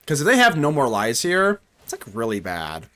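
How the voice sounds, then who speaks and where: A man's voice: medium pitch, normal speed. A young man giving casual live commentary on a card game, joking and talking to himself and his chat. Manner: animated, talks to himself and chat, jokey. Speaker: gaming streamer.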